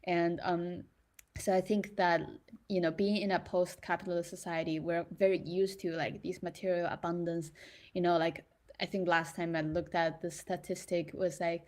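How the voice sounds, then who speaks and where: A woman's voice: on the low side, little pitch movement, moderate pace, darkish tone. Thoughtful young woman from China. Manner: thoughtful, many fillers and restarts. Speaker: creator in residency. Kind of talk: reflective casual talk.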